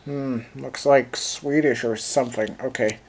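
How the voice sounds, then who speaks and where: A man's voice: medium pitch, fairly even intonation, unhurried, breathy edge. A man whose first language is German, speaking English with a German accent while unboxing and reviewing a handheld, thinking aloud with many fillers. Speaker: gadget reviewer.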